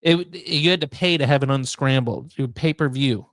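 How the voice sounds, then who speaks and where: A middle-aged man with an American accent talks casually as a podcast host. His pitch sits in an ordinary male range, and the recording is close and dry, with no room sound. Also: normal speed, dry recording. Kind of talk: casual chat.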